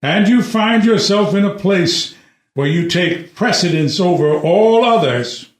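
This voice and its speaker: Man acting a slow, stern old king, roomy sound. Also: high voice, animated. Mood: stern, reproachful. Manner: weighty, admonishing, deliberate. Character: stern old king, a grave reproachful father. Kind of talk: unrehearsed dramatic play reading. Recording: roomy, echoing indoor pickup.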